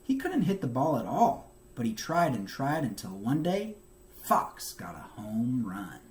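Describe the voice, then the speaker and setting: Animated man reading a children's storybook. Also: medium pitch, a little slow, a little hiss or hum. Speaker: teacher reading a children's book aloud. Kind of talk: expressive storybook narration.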